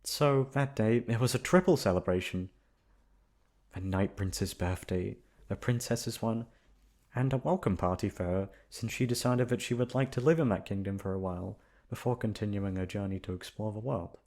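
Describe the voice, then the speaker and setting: Male narrator, low register, ASMR bedtime storybook reading. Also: moderate pace, darkish tone. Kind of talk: soft storybook narration, ASMR sleep aid.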